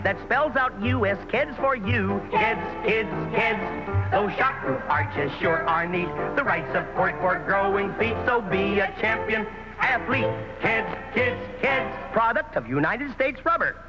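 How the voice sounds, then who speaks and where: A man's voice: sits high, lively intonation, unhurried, poor audio. A man singing and announcing in a vintage children's TV commercial. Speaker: commercial pitchman and jingle singer. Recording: old TV soundtrack under loud music.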